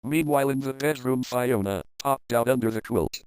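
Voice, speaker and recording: A man's voice: medium pitch, moderate pace, clear, resonant tone, no echo. A man reading a comic fan fiction story aloud in a playful, crude, dramatic manner.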